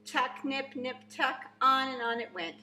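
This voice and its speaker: Female storybook reader for young children. Bright, crisp-edged delivery, emphatic and expressive. Roomy sound with faint noise. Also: high voice, unhurried, faint music behind. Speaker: preschool teacher reading aloud. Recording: echoey room, faint background noise.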